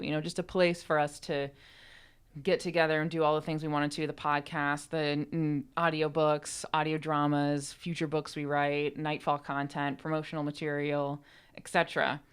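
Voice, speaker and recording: Low-pitched woman in casual conversation. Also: a bit bright, clean tone. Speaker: podcast co-host and writer. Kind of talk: casual chat.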